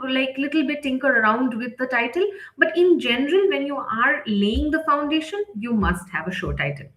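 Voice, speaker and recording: High-pitched woman presenter in an echoey room. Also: fairly bright tone. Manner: explanatory, often checking in with "right?". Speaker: editor leading a writing session. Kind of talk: live instructional presentation. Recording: roomy, echoing sound.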